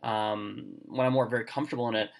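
A man hosting a casual chat, talking in quick bursts full of fillers and restarts. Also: fairly low pitch, steady tone, vocal fry. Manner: chatty, lots of fillers and restarts. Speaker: podcast host. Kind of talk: casual conversation.

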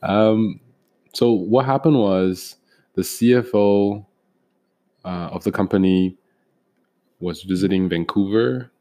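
Man, very deep voice, podcast co-host, casual chat, lots of ums and restarts. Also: slow pace, long pauses, warm tone. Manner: hesitant, many ums and restarts.

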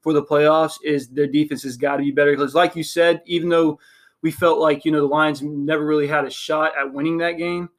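A young man talks football picks in a halting, filler-heavy way. His voice sits a bit high but stays flat, with little rise and fall. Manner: hesitant, many ums and restarts. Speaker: podcast co-host. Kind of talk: casual sports chat.

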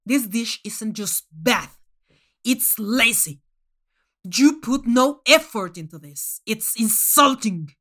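A woman voicing a furious, contemptuous monstrous male alien judge in a story, scathing and emphatic as the voice rises toward shouting. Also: a little high, animated, slow, exaggerated voice. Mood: angry, scathing.

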